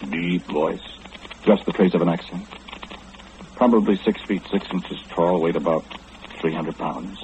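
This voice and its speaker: A man playing a courteous, eccentric, all-knowing gentleman in an old-time radio drama, speaking with formal, deliberate enunciation. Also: low voice. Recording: muffled, noisy old radio recording.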